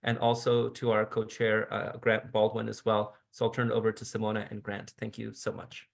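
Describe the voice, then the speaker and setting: A man's voice: lowish voice, monotone, moderate pace, gravelly. A man moderating an online meeting, hesitant with many uhs and ums as he hands over to others.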